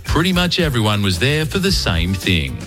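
A man's voice: medium pitch, big pitch swings, moderate pace, music in the background. A man, a podcast host, narrating a comic segment in a dry, wry storytelling manner.

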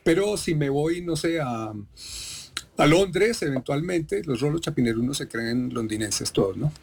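Creaky-voiced Bogotá man lecturing. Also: a little high, lively intonation, measured pace, darkish tone. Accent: Bogotá Colombian. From Colombia. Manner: lecturing, emphatic, digressive. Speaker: doctor, expert guest. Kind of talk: interview explanation.

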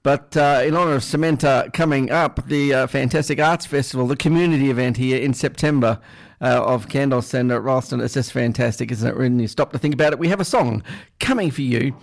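A male community radio host with a creaky, gravelly voice. He speaks casually and hesitantly, wandering through his introductions with frequent pauses. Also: medium pitch. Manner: hesitant, halting, rambling. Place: radio studio.

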